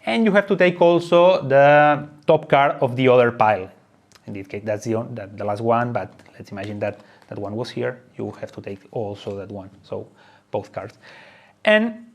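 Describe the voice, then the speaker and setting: A man presenting an unscripted how-to explanation of a game. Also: a little high. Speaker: presenter of a board game tutorial.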